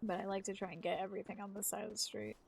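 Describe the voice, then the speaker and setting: A woman's voice: mid-range voice, little pitch movement, very fast, gravelly, breathy edge, no room sound. A woman in her thirties, a live streamer chatting casually, rambling and swearing freely. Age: 30s. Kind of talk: casual chat.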